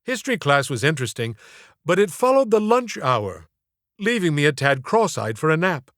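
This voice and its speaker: Theatrical male storyteller with a higher-pitched voice. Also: sing-song, moderate pace, a bit bright, no echo, studio quality. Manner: theatrical, wry, mock-formal phrasing. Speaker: audiobook narrator and author. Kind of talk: comic scripted storytelling narration.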